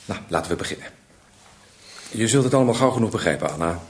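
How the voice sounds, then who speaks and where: An actor playing an earnest support group leader in a radio drama, measured and reassuring as he addresses a group. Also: on the low side, expressive, slight background noise, lightly acted. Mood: earnest, welcoming. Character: steady, earnest male support group leader, himself a struggler.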